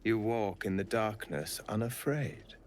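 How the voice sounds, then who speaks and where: An actor playing a solemn otherworldly spirit of justice in a video game, speaking gravely in archaic phrases. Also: male voice, lowish voice, unhurried, natural voice. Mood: grave, measured. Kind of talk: video game character dialogue.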